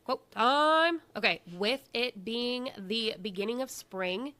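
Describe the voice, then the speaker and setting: A woman's voice: on the high side, takes it slowly, bright, forceful tone, slight background noise. A woman co-hosting a live broadcast segment on missing persons, bubbly and playful between earnest readings of case details. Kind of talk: casual live show chat.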